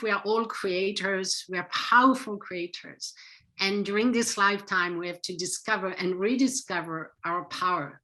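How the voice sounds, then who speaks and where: Interview guest: middle-aged woman, French accent, bright and earnest. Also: lowish voice, low-quality recording. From France. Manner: earnest, emphatic, explains with conviction. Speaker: psychotherapist guest. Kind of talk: interview answers.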